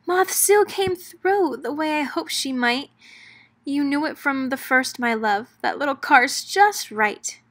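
A woman's voice: sits high, takes it slowly, pitched above the performer's own voice. A woman voicing a cautious, worried male bug husband in a rhyming storybook.

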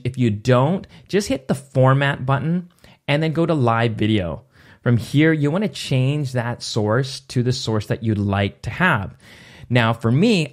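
A man in his thirties hosting a screen-recorded software tutorial, explaining step by step in a casual way, recorded in a small home studio on a boom mic. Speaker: tutorial host. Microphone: boom mic on arm near face.

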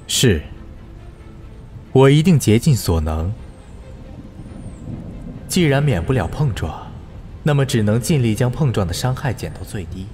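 An actor playing an earnest, dutiful retainer in a costume audio drama, speaking solemnly. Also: male voice, medium pitch, big pitch swings, a little slow, pauses often, darkish tone, a little hiss or hum. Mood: earnest, resolute. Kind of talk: Chinese costume radio drama acting.

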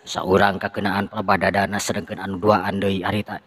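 A man with a Sundanese accent tells a folk tale in a lively, dramatic manner. His voice sits low. A long reverb follows his lines. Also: brisk. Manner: lively, with shouted sound effects. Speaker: storyteller.

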